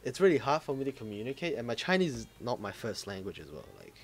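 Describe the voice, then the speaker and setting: Co-host, male, easygoing gamer chat, mid-pitched voice, drifts off mid-sentence. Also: very animated, fast, breathy edge. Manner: laid-back, swears casually, trails off searching for words. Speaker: podcast co-host. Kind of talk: casual gaming chat.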